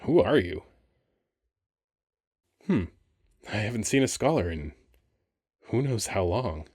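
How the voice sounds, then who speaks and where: A man playing a flirty, wry, weary sphinx who guards a temple, talking casually and haltingly in an ASMR roleplay. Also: lowish voice, sing-song, a little mellow, slightly rough, dry recording, studio quality, exaggerated voice. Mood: wry, bored.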